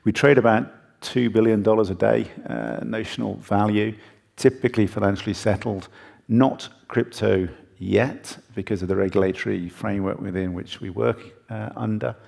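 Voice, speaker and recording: Low, very creaky male executive. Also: fairly even intonation, moderate pace, darkish tone. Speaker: chief executive on a panel.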